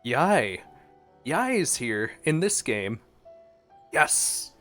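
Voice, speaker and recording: Male game streamer, mid-pitched, casual and exclamatory, thinking out loud over soft music. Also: big pitch swings, drawn-out words. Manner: musing aloud, exclamatory. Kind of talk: casual gameplay reaction commentary. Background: faint game music.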